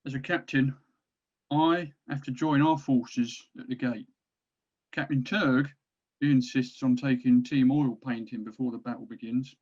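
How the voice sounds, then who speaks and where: A man's voice: medium pitch, steady tone, a little slow, darkish tone, natural voice. A man playing a weary orc war band captain rallying his crew in a fantasy comedy table read, on dull audio. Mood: grave, resolute.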